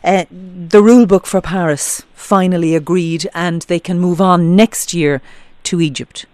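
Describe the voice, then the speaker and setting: A presenter reads news links and presses a guest with brisk, probing questions. The voice is smooth and crisp, sitting mid-range, heard dry and close to the microphone. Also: medium pitch, fairly even intonation, a little mellow, no echo. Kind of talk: radio interview and news links.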